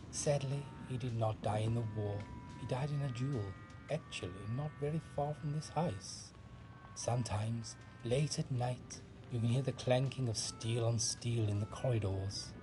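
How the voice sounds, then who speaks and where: An actor playing a genteel, gossipy household character who tells tales in a video game. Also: male voice, medium pitch, natural voice, low-quality recording. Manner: genteel, storytelling. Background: music.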